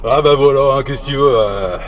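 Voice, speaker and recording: Hesitant Frenchman chatting over noisy vehicle rumble. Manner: hesitant, halting, repeating words; jocular, teasing. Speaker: amateur CB radio operator. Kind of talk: casual radio chat.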